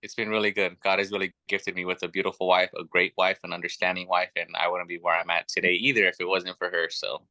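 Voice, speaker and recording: A man's voice: deep voice, flat delivery, fast talker, few pauses, pushed and bright, no room sound. A man in his thirties, a guest telling his family story in an earnest, chatty way with many fillers. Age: 30s. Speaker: podcast guest. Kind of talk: casual chat.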